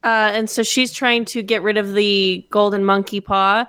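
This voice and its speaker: A woman on a comedy podcast, recapping episodes and filling pauses with ums and uhs. Her voice is clear and smooth, recorded dry and close. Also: mid-range voice, little pitch movement, fairly bright tone, no echo. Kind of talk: casual comedy podcast chat.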